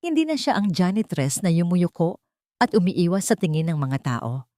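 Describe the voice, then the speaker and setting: Low-voiced Filipino woman narrating a story. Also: sing-song, normal speed, mellow, no echo, studio quality. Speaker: audiobook narrator. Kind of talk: scripted dramatic story narration.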